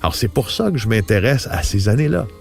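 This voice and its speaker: A man's voice: lowish voice, sing-song, fast, creaky, a little mellow, soft background music. A man with a Québécois accent, an investigative journalist and host, explaining things earnestly in a podcast interview. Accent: Québécois French.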